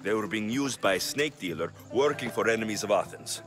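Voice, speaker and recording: An actor playing a self-assured, pragmatic ancient Greek mercenary in a game cutscene, terse and dry. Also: male voice, on the low side, lively intonation, a little fast, natural voice. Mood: earnest. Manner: terse, dry, matter-of-fact. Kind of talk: video game cutscene acting. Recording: music under the voice.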